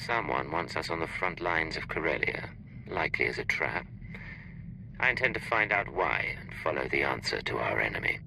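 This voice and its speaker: An actor playing a calm, commanding male secret agent in a video game, gravelly and measured. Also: rumbling low, background noise, heavily acted. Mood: resolute, cool. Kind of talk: video game cutscene acting.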